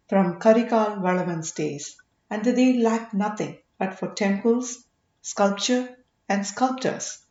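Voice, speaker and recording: A woman reading a story aloud as scripted audiobook narration, in an expressive, measured manner. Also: medium pitch, roomy sound, lo-fi sound. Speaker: audiobook reader.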